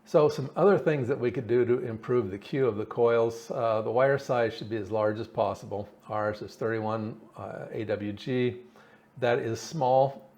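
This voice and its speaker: A man giving an informal technical explanation as a hobbyist presenter, thinking aloud in a halting way. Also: medium pitch, darkish tone. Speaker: hobbyist presenter explaining his radio build. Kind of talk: informal technical explanation over slides and diagrams.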